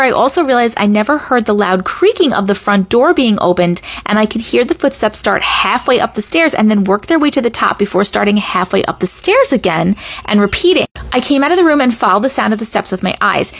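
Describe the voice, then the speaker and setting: A woman's voice: on the high side, husky. A middle-aged woman hosting a creepy story video, reading aloud expressively and dramatically with casual asides. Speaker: host reading viewer-submitted scary stories.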